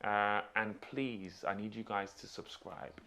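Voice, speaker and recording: Low-voiced man telling a story. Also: fairly even intonation, unhurried, vocal fry, pushed and bright. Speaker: YouTube host telling a personal story. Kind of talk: casual anecdotal storytelling with life lessons.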